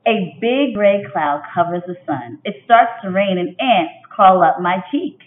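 A woman voicing a moody little girl who narrates a storybook, with expressive, emphatic delivery in a roomy recording. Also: mid-range voice, a little slow, lightly acted. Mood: gloomy. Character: moody, dramatic little girl telling her miserable day. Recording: dull, roomy home webcam recording.

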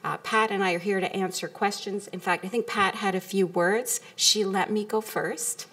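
A woman giving a measured, earnest prepared presentation at a council meeting. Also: mid-range voice, steady tone, fairly bright tone. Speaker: community health group presenter. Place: council meeting room, indoors. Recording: distant meeting room microphone.

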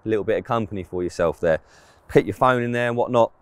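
A man's voice: lowish voice, fast talker, clear, resonant tone, dry recording. A man, giving a casual, plain-spoken product review to camera, outdoors on a close mic. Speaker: presenter of fishing clothing reviews. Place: outdoors in an open grass field.

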